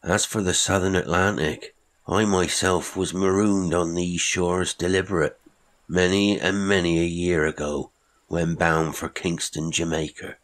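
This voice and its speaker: Brief: surly marooned man, archaic turns of phrase, deep and unhelpful, voiced by a man. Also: fairly even intonation, a little slow. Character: small surly man long marooned, old-fashioned speech.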